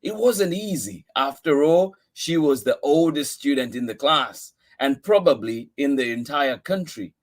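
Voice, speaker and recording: Slow-paced man reading a storybook aloud. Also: mid-range voice, expressive. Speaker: story-time host reading a picture book aloud. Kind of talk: expressive read-aloud storytelling.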